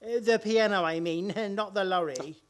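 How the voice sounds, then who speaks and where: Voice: mid-range voice, normal speed, smooth, lightly acted. An actor in a comic radio play playing a genial, timid, henpecked older father-in-law, hesitant and a little fussy, with old-fashioned politeness. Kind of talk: stage-comedy radio play acting.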